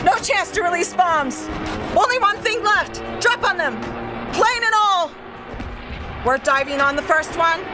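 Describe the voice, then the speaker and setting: Woman acting a frantic, shrill bomber pilot. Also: expressive, measured pace, music in the background. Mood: frantic, doomed. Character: frantic female bomber pilot in a doomed attack. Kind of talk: audio drama acting, cockpit report.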